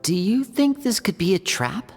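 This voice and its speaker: A woman's voice: mid-range voice, very animated, moderate pace, slight background noise. A woman voicing a cautious, thoughtful female travel companion. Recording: with music behind.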